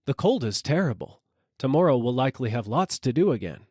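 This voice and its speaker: A man voices a storybook shopkeeper's wife who is firm, scolding and dismissive. The voice is lifted in pitch and lively, recorded dry. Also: a little fast, slightly rough, no echo. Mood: flatly denying.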